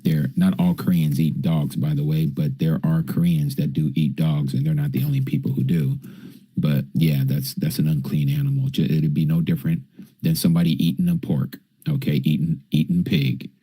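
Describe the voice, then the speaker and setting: A man's voice: rumbling low, level tone, moderate pace, dark tone, husky, no echo, poor audio. A man teaching scripture on a livestream, answering viewers' questions in a casual way and often checking in with "okay?". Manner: explains with frequent "okay?" checks.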